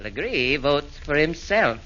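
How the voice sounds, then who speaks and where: A man's voice: fairly high pitch, very animated, slow, heavy background noise. An actor playing the sly, pompous chairman of a council of fiends in a 1940s radio comedy, speaking in rhymes. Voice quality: pompous, sing-song rhyming. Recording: muffled 1940s radio broadcast.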